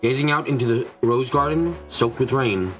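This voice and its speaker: A man narrates a visual novel in a medium-pitched voice. He reads the scripted prose as storyteller, with soft music faintly behind him. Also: moderate pace.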